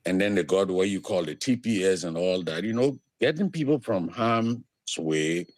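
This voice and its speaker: A man from Liberia, an animated storyteller who laughs easily, speaking as an interview guest. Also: no echo. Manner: animated storyteller with big swings in pitch, quick to laugh. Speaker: guest, immigrant services business owner. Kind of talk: conversational interview.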